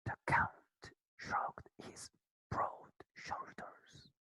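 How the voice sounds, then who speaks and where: Slow, bright whispering storyteller. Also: no room sound. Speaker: narrator. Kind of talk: whispered ASMR storybook reading.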